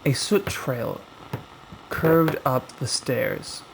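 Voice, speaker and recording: Male horror narrator, scripted and dramatic, extremely slow with suspenseful gaps, faint noise behind the voice. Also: fairly high pitch, lively intonation. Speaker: horror storyteller.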